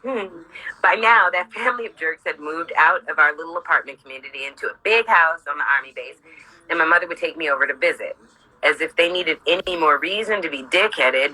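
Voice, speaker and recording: A woman with a husky voice reading her own memoir aloud informally, candid, sardonic and sweary, breaking off for asides. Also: deep voice, fast, pushed and bright, rough recording.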